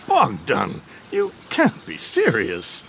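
A man's voice: high-pitched, wildly swooping pitch, very slow, gravelly, background noise, heavily acted. An actor playing a haughty, bigoted older count and domineering father in an audio drama, with a pompous, mocking manner. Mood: mocking, jovial.

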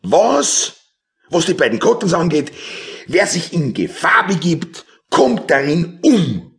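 An actor playing a smug, self-important Austrian police superior in a theatrical comic radio play. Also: male voice, on the high side, big pitch swings, slow pace, creaky, exaggerated voice.

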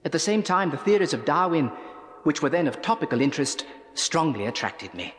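An actor playing a learned, dignified male doctor recalling his youth in a formal, measured, reflective way, with long reverb around the voice. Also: fairly high pitch, close to an everyday voice. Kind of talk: dramatised memoir monologue.

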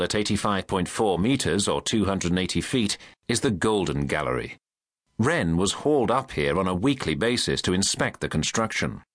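A British man reading scripted guidebook narration as an audio tour guide, in a measured, formal, clearly enunciated delivery. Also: sits low, fairly bright tone, no room sound, clean studio sound. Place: recording studio.

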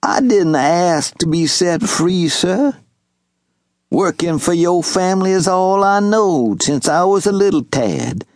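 A man voicing a loyal, humble elderly farm servant who speaks in a slow, earnest Southern rural drawl. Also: high-pitched, lively intonation, darkish tone, no room sound, studio quality, pitched above the performer's own voice. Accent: Southern US rural dialect. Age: older.

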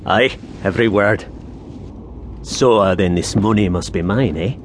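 An actor playing a wry, superstitious gravedigger's sidekick with an in an audio horror drama. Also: male voice, lowish voice, unhurried, close to an everyday voice. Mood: wry, conniving. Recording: clear background ambience noise.

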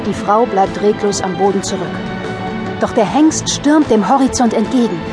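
Woman narrator reading a radio play, mid-pitched voice, music behind her. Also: normal speed. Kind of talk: scripted audio drama narration.

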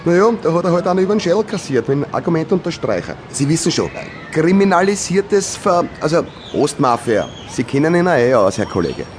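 A man's voice: on the high side, big pitch swings, fairly quick, slight background noise. An actor with a Viennese accent playing a chummy, evasive, opinionated postman who rambles ingratiatingly in a radio play.

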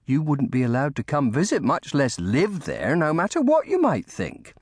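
Devoted young maid, read by a man, storybook style: high, swooping pitch, motherly fussing, humble and anxious. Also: huge pitch swings, moderate pace, darkish tone, breathy edge, dry recording, clean studio sound, heavily acted. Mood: fond, firm. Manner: anxious, motherly scolding and soothing. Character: devoted, fussing young maidservant woman of humble cottage family.

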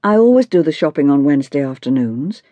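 A woman voicing an orderly, patient but mildly exasperated mother in audiobook dialogue, measured and dryly reproving. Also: deep voice, big pitch swings, dry recording, phone-quality sound.

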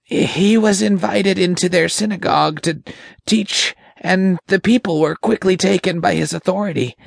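A man voicing a frightened, servile demon underling with a high, raspy, creaky voice and a timid, halting manner in an audiobook. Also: no echo, clean studio sound, heavily acted. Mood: nervous, halting. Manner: timid, halting, whimpering, slow. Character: groveling, frightened male impure spirit, servile demon underling. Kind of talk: dramatic audiobook character voice.

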